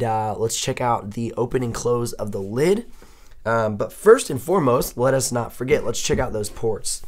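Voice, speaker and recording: Man, young adult, hoarse, mid pitch, casual unboxing chat, upbeat. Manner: chatty, upbeat, thinks aloud while handling gear. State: hoarse, losing his voice. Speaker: tech reviewer.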